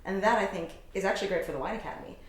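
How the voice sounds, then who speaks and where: A woman's voice: mid-range voice, rapid-fire. A young adult woman from the United States, a guest chatting with enthusiasm in a casual interview. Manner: upbeat, enthusiastic. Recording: echoey room.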